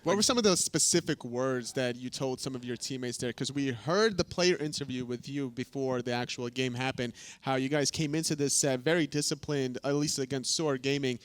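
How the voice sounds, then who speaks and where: Male esports cohost, normal mid pitch, conversational interview questions and commentary. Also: brisk, hardly any pauses, no echo. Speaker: esports desk co-host.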